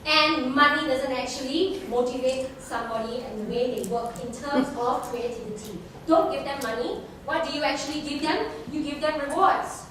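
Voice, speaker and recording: A woman giving a rushed conference presentation on a handheld microphone, hurrying and apologizing with laughing asides. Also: high voice, steady tone, a bit bright. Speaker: conference speaker. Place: conference hall. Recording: echoey hall from far off, noisy.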